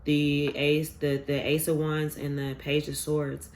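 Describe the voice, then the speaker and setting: A man's voice: a little high, monotone, a little slow. Stuffy-voiced man doing a loose, rambling tarot reading; a faint hiss sits under his voice. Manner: rambling, filler-heavy, earnest. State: stuffy from a sinus infection. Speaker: tarot reader. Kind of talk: casual collective tarot reading.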